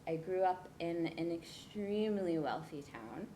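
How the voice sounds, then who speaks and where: A woman in her thirties from New Jersey, telling her life story to a leadership workshop in an earnest way, with pauses and fillers. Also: fairly low pitch, animated, warm tone, a little hiss or hum, poor audio. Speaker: guest speaker. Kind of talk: personal storytelling talk. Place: event room.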